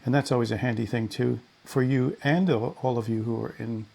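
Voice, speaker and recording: A man's voice: mid-range voice, steady tone, brisk, gravelly, warm tone. A man giving a chatty, explanatory hands-on demonstration in a casual style, speaking into a headset or lapel mic. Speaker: product demonstrator, pilot. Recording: faint background noise, headset and lapel mics.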